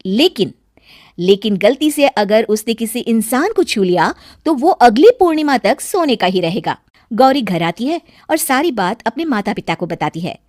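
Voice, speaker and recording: A woman's voice: fairly high pitch, very animated, normal speed, darkish tone, no echo, clean studio sound. A woman giving scripted narration for a children's moral cartoon story.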